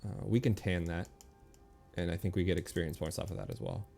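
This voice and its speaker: Man, very low voice, mellow and dark, casual gameplay commentary, muttering, music behind. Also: very animated, quick pace, gravelly. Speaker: video game streamer.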